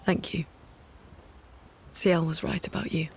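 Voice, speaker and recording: A woman's voice: on the low side, fairly even intonation, takes it slowly, a little hiss or hum. An actress playing a composed, dry-witted young woman scholar in a video game cutscene, matter-of-fact and wry. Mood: warm, sincere. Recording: studio voice under clear background music.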